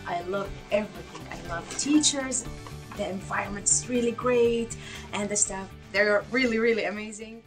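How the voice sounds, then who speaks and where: A woman's voice: mid-range voice, normal speed, music in the background. Bubbly woman, Arabic native speaker. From Saudi Arabia. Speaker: language student.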